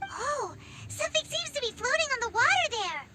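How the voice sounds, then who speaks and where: Game role: cheerful little fairy sidekick, tiny piping squeak, bubbly and swooping. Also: female voice, sing-song, fairly quick, over music. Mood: bright wonder. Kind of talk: video game voice acting.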